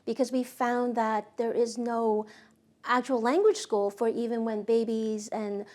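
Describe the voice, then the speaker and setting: A woman's voice: fairly high pitch, fairly even intonation, unhurried, darkish tone. A woman, a guest on a talk show, explaining her language school earnestly and often agreeing with "right, exactly". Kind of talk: casual interview conversation.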